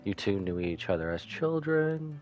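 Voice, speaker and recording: A man's voice: fairly low pitch, big pitch swings, normal speed, a little mellow. A man giving casual, joking live commentary as he streams a video game. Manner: joking, offhand. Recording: faint game music behind.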